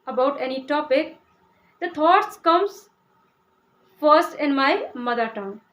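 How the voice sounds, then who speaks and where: A woman's voice: high voice, expressive, slow pace, fairly bright tone. A young Indian woman from Uttar Pradesh, a Hindi speaker, explaining English learning with many fillers and restarts. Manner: hesitant, many "uh" fillers and restarts, asks "okay?". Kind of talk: casual explanatory talk to camera.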